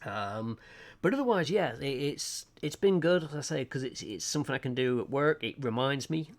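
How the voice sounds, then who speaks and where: A man's voice: mid-range voice, expressive, moderate pace. A man from Lancashire with a Lancashire accent, chatting casually and hesitantly to viewers on a livestream, full of ums. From Lancashire, England. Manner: rambling, chatty, self-deprecating, hesitant with frequent ums. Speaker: art livestreamer. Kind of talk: casual chat to stream viewers. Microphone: desk mic, webcam off.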